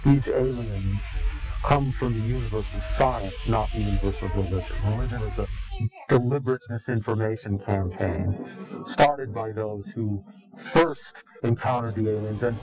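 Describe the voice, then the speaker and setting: A man giving an interview in a slow, grave, deliberate way, pausing often between phrases. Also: medium pitch, a little mellow, poor audio. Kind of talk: ominous recorded interview.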